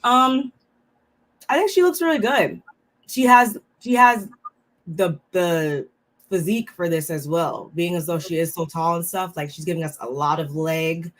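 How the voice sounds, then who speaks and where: A woman co-hosting a reality TV recap. She is a little under the weather and talks in a relaxed, halting way with many fillers. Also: fairly low pitch. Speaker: co-host of a reality TV recap show. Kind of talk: casual chat reviewing looks.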